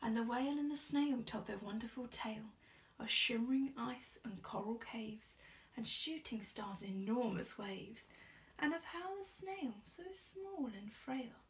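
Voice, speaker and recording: Slow, high-voiced young woman reading a storybook. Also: distant mic, poor audio. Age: young adult. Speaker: storybook reader. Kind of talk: expressive read-aloud of a rhyming children's picture book. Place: small indoor room. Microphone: camera a short distance away.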